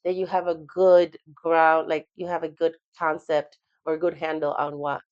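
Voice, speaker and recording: A woman's voice: low-pitched, level tone, moderate pace, darkish tone, no echo, lo-fi sound. A woman in her twenties hosting a podcast, asking questions casually and affirming her guest's answers. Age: 20s. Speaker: podcast host.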